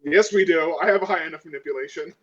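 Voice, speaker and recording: A man's voice: a little high, fast talker, muffled recording. A man talking casually as a player in a tabletop role-playing game.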